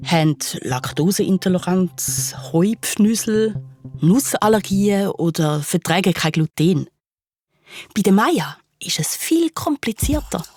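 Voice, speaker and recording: Slow, expressive Swiss woman storyteller. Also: medium pitch. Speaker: narrator. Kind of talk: chatty storytelling for children.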